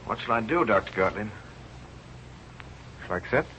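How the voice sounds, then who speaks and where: An actor playing an earnest, driven young research scientist, with intense delivery in an old radio drama. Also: male voice, lowish voice, animated, moderate pace, pushed and bright, slight background noise. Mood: anxious questioning. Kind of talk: 1930s-40s radio drama acting and first-person narration. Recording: muffled old radio recording with music behind.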